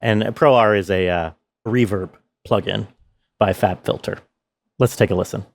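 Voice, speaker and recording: A man's voice: lowish voice, moderate pace, creaky, slight background noise. A man presenting his sound design work in a casual, explanatory tutorial style.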